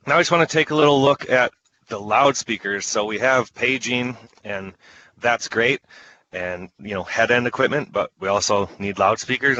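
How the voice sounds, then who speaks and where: A man's voice: on the low side, bright, forceful tone, muffled recording. A man giving an informal product presentation online, talking in a conversational, enthusiastic salesman's way as a trainer.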